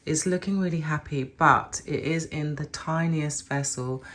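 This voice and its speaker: A woman's voice: low-pitched, measured pace, fairly bright tone. A woman with a bit of a cold, chatting in a rambling way as she shows her houseplants in a home vlog. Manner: rambling, chatty, self-correcting. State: stuffy with a cold. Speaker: plant vlogger. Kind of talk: chatty plant care vlog.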